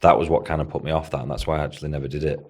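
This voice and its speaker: Very deep-voiced man with a Hull accent. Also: fairly even intonation, rapid-fire. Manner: rambling, swears casually, laughs often. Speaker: podcast host and bodybuilding coach. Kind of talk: casual podcast chat.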